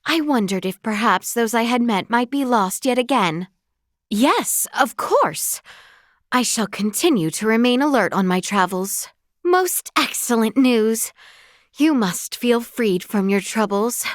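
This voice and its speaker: Young fantasy game princess, very animated intonation, courtly and polite, earnest, dry close studio sound. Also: female voice, on the high side, unhurried, no room sound. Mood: polite, composed. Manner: formal, courtly, politely archaic phrasing. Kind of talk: scripted video game voice acting.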